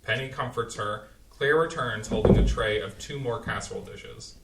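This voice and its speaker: A man's voice: mid-range voice, normal speed, heavy background noise. A man reading the stage directions aloud in a plain, matter-of-fact way during a community staged reading. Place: small community playhouse stage. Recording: echoey hall, distant mic.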